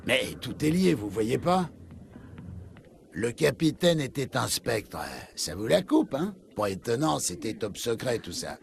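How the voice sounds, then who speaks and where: An actor playing a sleazy, bitter cop in a video game, sneering and insinuating in a gravelly voice. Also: male voice, medium pitch, big pitch swings, unhurried, a little hiss or hum. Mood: smug, insinuating. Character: sleazy, bitter male human cop, smug gossip.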